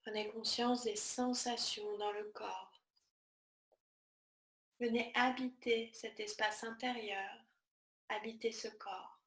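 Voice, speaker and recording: A woman teaching yoga nidra, guiding a relaxation meditation in a soothing, measured manner with short, spaced-out phrases. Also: on the high side, steady tone, fairly bright tone, roomy sound, rough recording.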